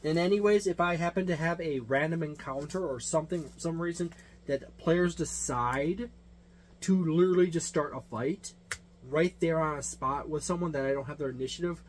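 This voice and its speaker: Man, slightly high pitch, casual review, unscripted, self-correcting, emphatic, faint noisy hum. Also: moderate pace. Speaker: tabletop gaming reviewer and game master. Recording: noticeable background noise with hum.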